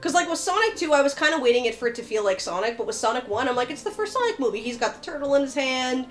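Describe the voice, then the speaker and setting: A woman's voice: sits high, expressive, rapid-fire. A woman co-hosting a podcast in casual fan chat, talking informally and swearing freely. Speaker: podcast co-host. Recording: music behind the voice. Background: music.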